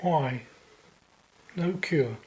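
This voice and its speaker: A man's computerised speech-aid voice in a radio play, speaking in terse, flat fragments. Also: mid-range voice, extremely slow, rough recording. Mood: flat, resistant.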